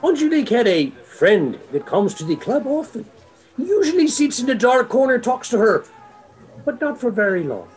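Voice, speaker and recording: An actor playing a retired, gossipy nightclub regular, speaking in a deliberate, knowing way. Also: male voice, very high voice, big pitch swings, faint music behind. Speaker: actor in community theatre radio play. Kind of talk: theatrical radio play dialogue.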